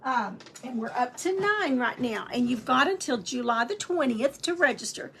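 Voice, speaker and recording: Woman, slightly raspy, higher voice, live craft teacher, faint hiss. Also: lively intonation, moderate pace, fairly bright tone. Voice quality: friendly, chatty, many ums and restarts. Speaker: painting instructor and shop owner. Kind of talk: live painting stream, chatty demonstration.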